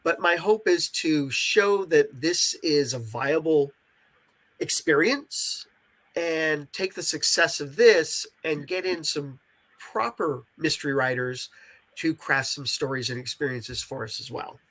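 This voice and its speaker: Nasal, bright-voiced man chatting. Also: a little high, slow pace, rough recording. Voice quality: congested, nasal voice. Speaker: game creator, interviewee. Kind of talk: casual conversational interview.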